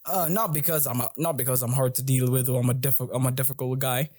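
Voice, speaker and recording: A young man with a middle-pitched voice talks casually and fairly quietly, often stopping to correct himself. His voice sounds close and dry. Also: steady tone, fast talker, no room sound. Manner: quiet, short interjections, self-correcting. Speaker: co-host. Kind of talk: casual chat.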